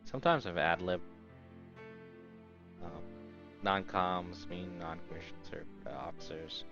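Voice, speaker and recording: Low-voiced man reading over music. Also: steady tone, unhurried, vocal fry, highs cut off. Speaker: let's-play host reading a visual novel aloud. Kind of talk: narration read aloud with casual asides. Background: game background music.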